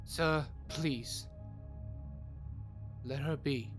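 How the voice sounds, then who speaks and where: A man playing a grave doctor who narrates in an audio drama, measured and formal. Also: fairly high pitch, soft background music. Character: grave male doctor recounting a troubling case.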